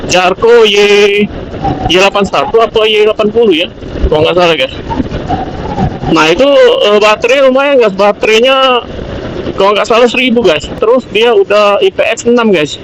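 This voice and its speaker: Indonesian guy motovlogging, pretty high voice, rambly casual review talk, loud road and vehicle noise behind him. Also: normal speed, long pauses. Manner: informal, hesitant, repetitive thinking aloud. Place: outdoors, riding a motorbike on the road. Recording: noisy outdoor traffic and vehicle noise.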